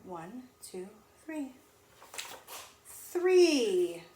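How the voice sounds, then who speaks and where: A woman teacher reading a storybook aloud to young children in an animated, sing-song way, pausing to ask them questions. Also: on the high side. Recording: echoey room with faint noise.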